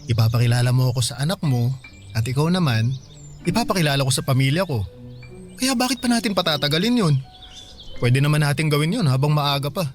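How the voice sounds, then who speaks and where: A man's voice: fairly high pitch, expressive, fairly quick, faint music behind, close to an everyday voice. A man playing an eager younger boyfriend in a romance drama, coaxing and casual, with a Filipino accent. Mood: insistent, reassuring. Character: eager younger boyfriend, affectionate and pushing for commitment. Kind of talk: dialogue in a radio-style romance drama.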